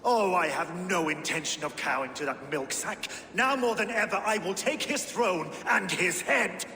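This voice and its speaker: Swaggering Viking warlord, wildly animated. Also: male voice, high-pitched, normal speed, recorded from a distance, slight background noise, exaggerated voice. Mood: enraged. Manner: boastful, menacing, theatrical. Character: swaggering, hot-tempered Danish Viking warlord king. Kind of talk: dramatic video game acting. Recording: echoey hall, music behind.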